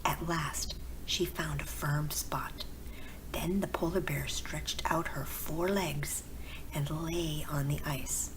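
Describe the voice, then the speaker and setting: A woman narrates a bedtime story in a low, soft, breathy voice. The reading is gentle and very unhurried. Clear background noise sits under the recording. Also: fairly even intonation. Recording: clearly noisy background with ambience.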